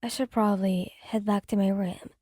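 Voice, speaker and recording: A woman voicing a devoted young partner in a soft, breathy whisper. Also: medium pitch, steady tone, normal speed, no room sound, studio quality, voice pushed down, lightly acted. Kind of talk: ASMR fanfic reading.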